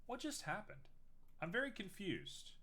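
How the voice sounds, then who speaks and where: A man's voice: high voice, wildly swooping pitch, normal speed, gravelly, pushed and bright. A young man streaming live while making art, chatting casually and teasing himself as he works. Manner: chatty, self-mocking, thinks aloud while working.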